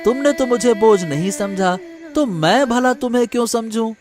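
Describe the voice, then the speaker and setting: A man playing a devoted grown son in a cartoon, gentle and reassuring. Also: very high-pitched, moderate pace, over music. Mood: tender reassurance. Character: devoted, tender grown son of a poor villager.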